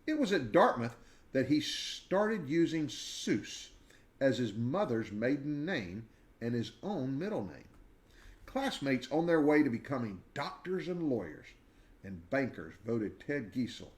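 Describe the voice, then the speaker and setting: Male storybook reader, mid-range voice, lively swooping intonation, expressive delivery with deliberate dramatic pauses. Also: medium pitch. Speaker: reader of a children's biography. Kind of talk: expressive storybook read-aloud.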